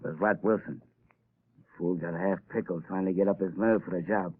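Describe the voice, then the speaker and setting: A man's voice: sits low, steady tone, fairly quick. An actor playing a sneering gangster in a 1930s radio drama, talking tough-guy slang, on a tinny old broadcast recording. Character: gruff male gangster, menacing, sneering.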